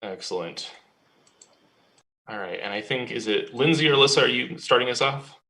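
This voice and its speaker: A man in his twenties from Central Illinois, a graduate student leading a team presentation on a video call, upbeat and brisk. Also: mid-range voice, sharp tone.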